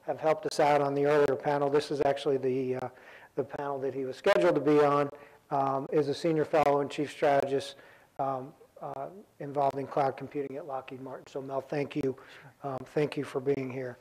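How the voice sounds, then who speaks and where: Male panel moderator, flat even delivery, lots of ums and restarts, conference room mic. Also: medium pitch, rough recording. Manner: halting, many ums and restarts.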